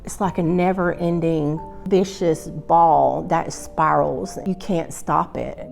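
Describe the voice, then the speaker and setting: A woman's voice: sits low, steady tone, slow, creaky. A woman from Georgia telling her recovery story in a candid, matter-of-fact way. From Rome, Georgia. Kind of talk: personal recovery testimonial. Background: soft music.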